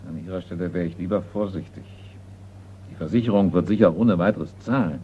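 An actor playing a cautious, formal art appraiser in a radio crime drama, speaking in a measured way. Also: male voice, low voice, natural voice. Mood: cautious, discreet. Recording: noisy, tinny old radio play.

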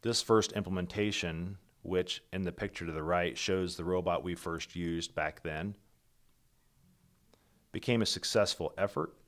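Middle-aged male presenter, very low voice, steady and businesslike, keynote over slides. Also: unhurried. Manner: steady, methodical, businesslike, with occasional slips and restarts. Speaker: company founder presenting.

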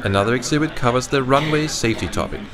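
A man's voice: medium pitch, fast talker, a crowd in the background. A man reading scripted narration as the narrator of a video.

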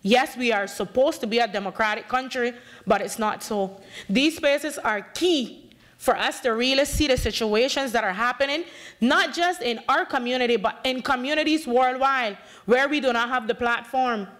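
A woman's voice: a little high, normal speed, a bit bright. A woman in her thirties from the Bay Islands of Honduras, with a Bay Islands English accent, giving an impassioned, emphatic talk at a conference podium and acting out conversations as she speaks. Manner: passionate, emphatic, gestures widely, acts out dialogue. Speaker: panel speaker, community activist. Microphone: podium microphone.

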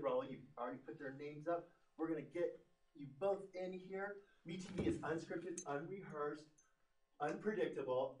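Effusive male TV host in his forties. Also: fairly high pitch. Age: 40s. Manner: enthusiastic, effusive. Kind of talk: live TV show hosting. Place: TV studio set. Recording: roomy studio from a distance.

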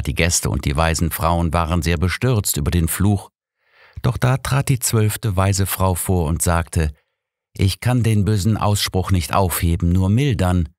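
Male audiobook narrator, deep register, dry studio sound, scripted storytelling. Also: dry recording.

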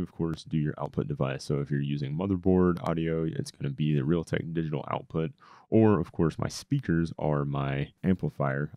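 A man giving a casual, upbeat software review into a close microphone. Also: rumbling low, dry recording. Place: indoor home streaming setup. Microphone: close boom-arm microphone.

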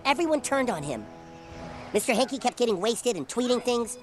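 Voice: medium pitch, very animated, fairly quick, lightly acted. A voice actor playing an earnest cartoon boy who confronts a friend, over game music. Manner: accusing, earnest.

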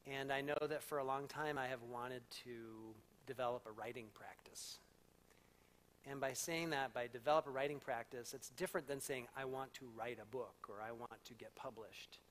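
Middle-aged pastor, reflective and candid, handheld mic, faint room hum behind. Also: male voice, medium pitch, steady tone, fairly bright tone, poor audio. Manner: thoughtful, candid, unhurried with fillers. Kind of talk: casual reflective talk before a congregation. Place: church sanctuary with a live audience.

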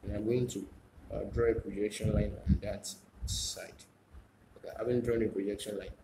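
A man's voice: on the low side, level tone, normal speed. A man giving a step-by-step drawing demonstration as a teacher, repeating phrases and answering his own questions. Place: indoors at a drawing desk. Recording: echoey room, noisy, with clicks.

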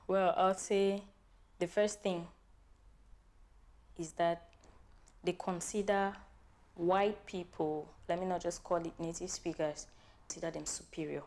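A woman teacher with a Cameroonian accent, calmly explaining her views in an interview. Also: fairly low pitch, a little slow, long pauses. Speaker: guest teacher. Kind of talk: interview answers. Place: small studio living-room set, indoors.

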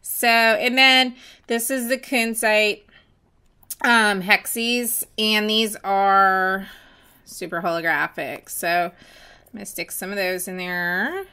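A woman chatting casually while mixing glitter, her voice going hoarse as she loses it, with many pauses and fillers. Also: mid-range voice, steady tone, bright, forceful tone. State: losing her voice, hiccups. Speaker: nail art seller mixing glitter. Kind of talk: casual crafting voice-over.

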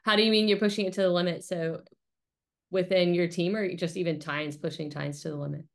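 Woman, thirties, slightly low pitch, informal interviewer, conversational, affirming, video call. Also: fairly even intonation, fairly quick, gravelly. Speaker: interviewer, host. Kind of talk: casual interview chat. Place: small home office, indoors.

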